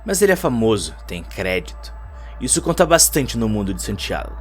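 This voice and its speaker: A man's voice: fairly low pitch, very animated, normal speed, faint music behind. A man with a Brazilian accent reading a dramatic scripted story as narrator of an audio drama.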